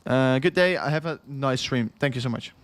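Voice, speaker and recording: A man's voice: medium pitch, normal speed, no echo. Dutch man rambling on a livestream. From Netherlands. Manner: rambling, repeats himself, chuckles often, reads chat aloud. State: tired after hours of streaming. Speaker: crypto livestream host. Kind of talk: casual livestream chat.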